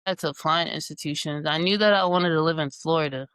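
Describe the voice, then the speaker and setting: Relaxed young male guest in his twenties, low-key and smooth-toned. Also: fast, dry recording. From Jamaica and New York. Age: 20s. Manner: relaxed, easygoing, with casual fillers. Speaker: guest scientist. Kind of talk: casual interview answers.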